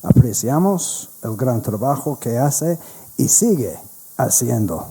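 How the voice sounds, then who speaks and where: An American man whose first language is English reads a prepared introduction at a conference. He takes it slowly, with a very dark, mellow voice. Now and then he stumbles and restarts a word. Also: mid-range voice, lively intonation, creaky, husky. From United States. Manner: slow, careful reading with some restarts. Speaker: presenter at a history conference.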